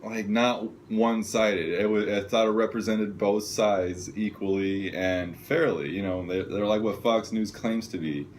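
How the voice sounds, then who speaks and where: A man's voice: fairly low pitch, fairly even intonation, unhurried, hardly any pauses, slight background noise. A man co-hosting a casual film discussion podcast in a slangy, loosely phrased way. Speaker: podcast co-host.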